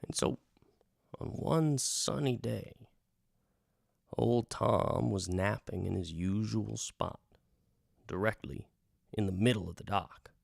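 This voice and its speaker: A man storytelling in the persona of a travelling bard, theatrical and wry, dropping toward hushed tones and swelling for emphasis. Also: lowish voice, slow, vocal fry, long pauses, no room sound, studio quality. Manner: theatrical, hushing to near whispers and swelling for emphasis; wry, conversational asides to the listener. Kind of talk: dramatic folk-tale storytelling, part radio drama.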